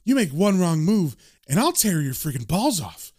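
A man's voice: high-pitched, very animated, moderate pace, a little mellow, slightly rough, heavily acted. A man voicing a feisty, fearless woman in a comic book retelling. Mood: threatening. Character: feisty, fearless woman who threatens attackers.

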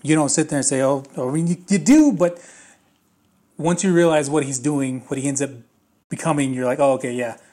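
A man with a slightly raspy voice giving a casual, rambling spoken movie review, full of fillers and restarts. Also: on the high side, brisk, dark tone.

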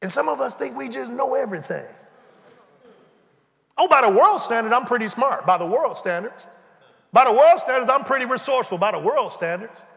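Animated high-voiced preacher in a big hall. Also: male voice, a little fast, vocal fry. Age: 40s. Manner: conversational preaching, acts out dialogue, humorous asides. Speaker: pastor. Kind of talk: sermon. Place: church sanctuary.

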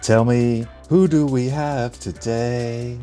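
A man's voice: medium pitch, steady tone, slow pace, mellow, faint music behind. A Welsh man co-hosting a podcast in casual chat, joking and teasing his co-host. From Wales. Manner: joking, teasing, calls people mate.

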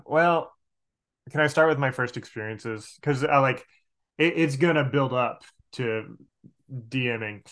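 A man in his late twenties chatting casually as a podcast guest, animated and repeating words as he explains. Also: mid-range voice, unhurried. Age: late 20s. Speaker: podcast guest, game master. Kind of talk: casual chat.

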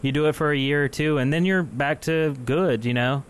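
A man's voice: on the high side, brisk, clean tone. Chatty male podcast co-host. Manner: chatty, laughs often, restarts sentences. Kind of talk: casual live call-in chat.

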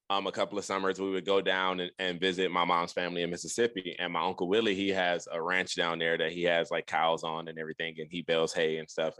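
A man's voice: sits low, a bit bright, dry recording. A young man from Detroit, Michigan, speaking as a podcast guest, telling his story in a rambling way with many restarts. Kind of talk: casual storytelling interview.

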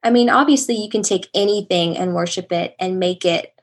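A young woman speaking as a podcast guest with a calm, soothing voice, talking casually and reflectively. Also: fairly low pitch, a little fast. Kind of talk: casual conversational interview.

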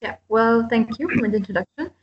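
A woman's voice: on the high side, a little fast, muffled recording. A young woman from Austria, a student guest on a radio panel, chatty with frequent fillers. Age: young adult. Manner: chatty, uses like and you know. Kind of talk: casual panel discussion.

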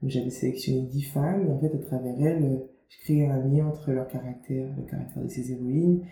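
A woman's voice: a bass voice, steady tone, a little fast, mellow. A young woman from Guadeloupe, an author being interviewed, talking casually with many fillers and restarts. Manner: chatty, lots of fillers and restarts. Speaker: author and media founder, guest. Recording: roomy, faint background noise.

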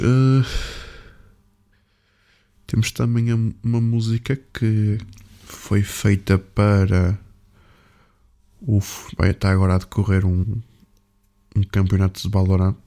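A man's voice: lowish voice, dark tone. A man with a European Portuguese accent hosting a music show, chatting casually in an informal, rambling, slangy way as he introduces songs.

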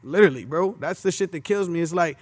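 A man's voice: fairly high pitch, quick pace, dry recording. A man in his twenties, a rapper being interviewed, talking in laid-back street slang and filling his talk with casual asides. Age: 20s. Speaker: rapper guest.

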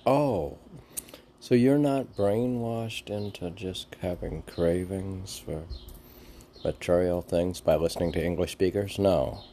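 Slow, very deep-voiced man musing aloud. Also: warm tone, slight background noise. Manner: halting, musing, answers own questions. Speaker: reader and commentator of mystic poetry. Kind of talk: reading poems aloud with rambling informal commentary.

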